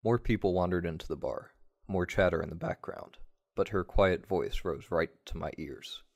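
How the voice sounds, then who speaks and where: A man narrating a first-person story in a measured, wry way, like a radio play. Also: low-pitched.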